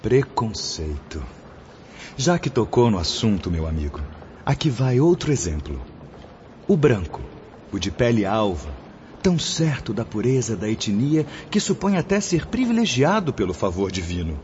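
Man acting solemn Brazilian preacher-teacher, low voice. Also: big pitch swings, moderate pace, a little mellow. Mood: stern, impassioned. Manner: preachy, formal, oratorical delivery. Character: charismatic, solemn spiritual teacher brother, eloquent and fervent. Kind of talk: radio drama acting in Brazilian spiritist story. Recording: dull lo-fi audio with background noise.

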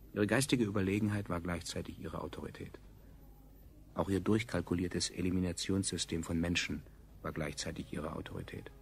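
A man playing a former operator slave, narrating a science fiction radio drama in a sober, measured, reflective way. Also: low-pitched, gravelly, slight background noise. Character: former operator slave recalling his rulers' cold regime.

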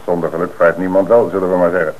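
A man playing a deep-voiced, measured commissioner. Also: flat delivery, phone-quality sound, lightly acted. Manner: measured, authoritative. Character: calm, shrewd male police commissioner. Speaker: police commissioner. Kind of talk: radio drama acting.

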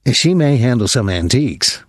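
A man's voice: medium pitch, sing-song, moderate pace, dry recording, studio quality. A man voicing a blunt, short-tempered father in an audiobook, curt and plain-spoken with a bitter edge.

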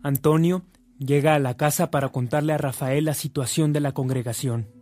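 A man's voice: on the high side, steady tone, normal speed, darkish tone, no room sound. A man reading scripted narration for a radio drama.

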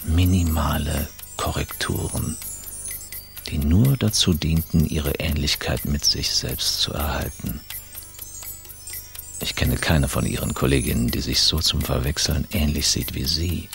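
A man's voice: very deep voice, vocal fry, a bit bright, exaggerated voice. An actor playing a smug, besotted cosmetic surgeon in a radio drama monologue, savouring his phrases with irony.

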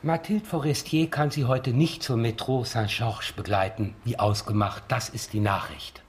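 An actor playing a prickly, defiant blind suspect in a German radio crime drama, curt and formally sarcastic. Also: male voice, medium pitch, normal speed, lightly acted. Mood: formal, dry. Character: prickly, sardonic, defiant blind man under police suspicion.

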